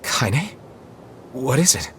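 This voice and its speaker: A man's voice: medium pitch, very animated, takes it slowly, fairly bright tone, a little hiss or hum. An actor playing an earnest, serious young male hero in a video game, worried for his companion.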